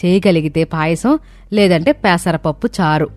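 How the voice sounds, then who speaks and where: A woman's voice: lowish voice, normal speed, darkish tone, no room sound, faint music behind. A woman reading the lines of a family story, voicing the daughters-in-law and the mother-in-law in turn. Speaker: storyteller voicing all characters.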